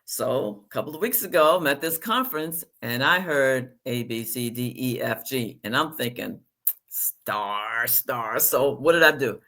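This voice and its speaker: A woman's voice: a bass voice, expressive, measured pace, a bit bright. A woman hosting a podcast interview. She chats casually and tells self-deprecating stories about herself. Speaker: podcast host.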